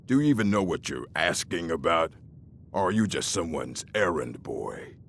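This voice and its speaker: An actor voicing a stern, grumpy old orc librarian in a fantasy game, gruff and contemptuous, with a gravelly voice. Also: male voice, deep voice, very animated, normal speed, a bit bright, slight background noise, full character voice. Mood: stern, threatening. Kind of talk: fantasy video game voice acting.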